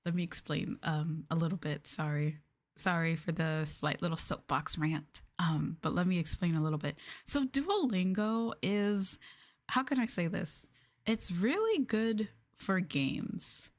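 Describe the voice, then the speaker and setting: A woman with an American accent and a slightly low voice chats with her live viewers. Her delivery is soft and casual in an ASMR style, with expressive, bubbly reactions as she talks. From United States. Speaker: streamer chatting with live viewers. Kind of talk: casual ASMR chat stream.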